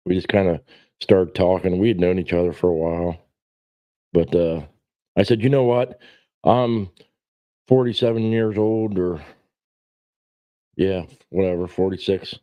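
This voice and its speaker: A man's voice: deep voice, dry recording, lo-fi sound. A man in his forties chatting casually on a livestream, rambling in a blunt, self-deprecating, salty way. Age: 40s. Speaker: livestream host.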